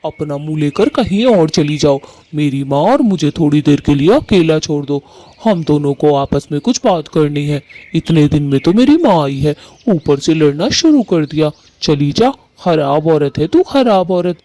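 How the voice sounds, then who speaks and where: A man voicing Kalu, a harsh, bossy male bird husband in a cartoon who scolds loudly. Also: on the high side, expressive, normal speed, lightly acted. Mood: scolding. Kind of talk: Hindi cartoon voice acting.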